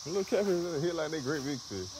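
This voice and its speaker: A man filming a family fishing trip on a riverbank with a handheld phone, chatting to viewers with excitement and laughter. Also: fairly high pitch, fast, noisy background. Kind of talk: casual outdoor narration to viewers. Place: riverbank under a bridge, outdoors. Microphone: handheld phone camera. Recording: loud outdoor ambience with crickets and insects.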